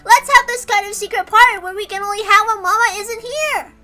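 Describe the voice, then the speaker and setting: A woman's voice: very high voice, steady tone, brisk, bright, forceful tone, pitched above the performer's own voice. A woman voicing a small, sweet, childlike lion plush toy in a babyish manner, from a visual novel read aloud. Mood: playful, inviting. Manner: babyish, with a cute verbal tic.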